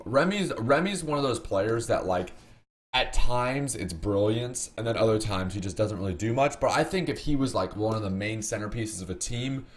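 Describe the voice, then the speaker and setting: A man appearing as a guest, giving casual soccer opinions in a rambling way, repeating words and leaning on fillers. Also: lowish voice. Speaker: guest YouTuber.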